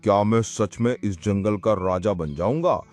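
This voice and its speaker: A man voicing a hungry lion king in a cartoon, with a lowish, gruff and commanding voice. A soft music bed plays behind him. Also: moderate pace, lightly acted. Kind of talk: cartoon voice acting.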